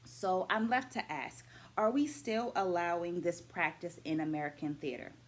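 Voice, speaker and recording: A middle-aged woman, a dance educator, talking through her takeaways from a book in a measured vlog, stacking her points in lists and asking viewers to comment. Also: fairly low pitch. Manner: asks viewers questions and invites comments.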